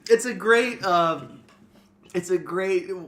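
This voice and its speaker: Higher-voiced man narrating and chatting. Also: slow pace, fairly bright tone. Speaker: narrator and co-host. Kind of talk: story narration and casual discussion.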